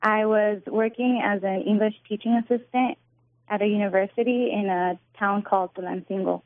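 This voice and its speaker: A woman's voice: medium pitch, fairly even intonation, moderate pace, no echo. A young woman in her twenties from Northern California, answering interview questions in an earnest, friendly way. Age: 20s. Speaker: guest. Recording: tinny phone line.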